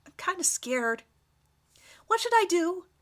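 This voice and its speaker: A woman voicing a big, cheerful, oblivious, lovesick teenage boy in a dramatic fan fiction reading, guileless and excitable. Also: extremely high pitch, measured pace, pitched above the performer's own voice, full character voice. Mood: lovesick, nervous.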